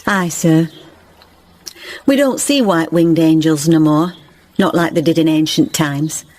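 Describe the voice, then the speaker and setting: A woman's voice: deep voice, a little slow, a little mellow. An actress playing a kindly, pious village woman, homely and earnest, in a period radio drama. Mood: tender, reflective.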